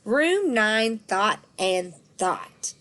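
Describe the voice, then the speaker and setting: A woman reads a children's storybook aloud at a slow, deliberate pace. Her delivery is expressive and animated, shaped for young listeners. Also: mid-range voice, slight background noise.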